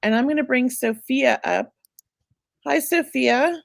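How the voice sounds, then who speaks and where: A woman hosting a live psychic reading, chatty and reassuring, going a bit hoarse as she loses her voice. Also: sits high, unhurried, no room sound, clean studio sound. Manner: chatty, reassuring, many filler words. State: losing her voice. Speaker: psychic medium host.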